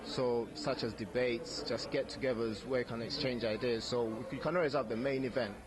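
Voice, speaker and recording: A man's voice: medium pitch, steady tone, moderate pace. A young man from Uganda, who grew up speaking Luganda and Runyankole, answering an interviewer's questions in an earnest, thoughtful way and often repeating his first words. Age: young adult. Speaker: interviewee at community event. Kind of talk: casual street interview. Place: outdoor community event. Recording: outdoor recording with crowd noise. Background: crowd chatter.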